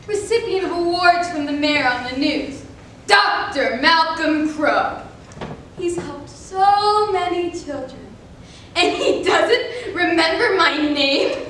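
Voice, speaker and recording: Woman acting a bitter, haunted intruder, echoey and high. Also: lively intonation, takes it slowly, pushed and bright, full character voice. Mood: bitter, accusing. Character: traumatized former child patient, bitter intruder confronting his doctor. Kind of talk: reader's theater stage acting. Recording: echoey hall, distant mic, faint noise.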